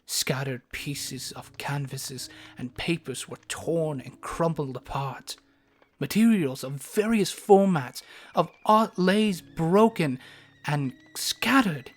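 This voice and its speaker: A narrator reading scripted fantasy audio drama narration in a deliberate, descriptive storybook manner. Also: medium pitch.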